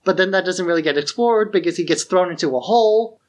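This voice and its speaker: This is a man with a slightly high-pitched voice, co-hosting a podcast. He talks in a loose, conversational way, as in an informal film discussion. His phrasing is everyday and unscripted. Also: fast. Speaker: podcast co-host.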